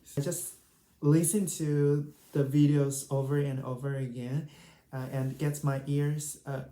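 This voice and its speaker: A woman's voice: low voice, little pitch movement, unhurried, warm tone. A woman with a Chinese accent, a native Chinese speaker, casually sharing language study tips as a vlogger. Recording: roomy, echoing sound.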